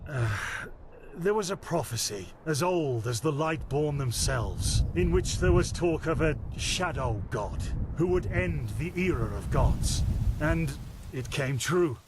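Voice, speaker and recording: An actor playing a blunt, weary master of a holy order in a fantasy game, dry and authoritative. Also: male voice, a little high, lively intonation, a little slow, noisy background. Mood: grave, reluctant. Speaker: mentor leading novices to a trial.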